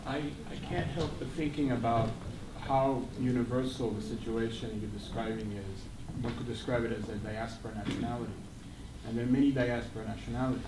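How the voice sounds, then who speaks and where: A man from the audience puts forward a long, articulate question at an even pace. His voice sits in the middle range. He is picked up from a distance in an echoey room with clear background noise. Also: medium pitch. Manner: articulate, measured, long sentences. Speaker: audience member asking a question. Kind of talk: question from the floor.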